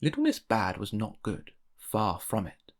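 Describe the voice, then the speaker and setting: A man narrating a children's storybook aloud in an expressive read-aloud style. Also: on the low side, unhurried. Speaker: storybook reader.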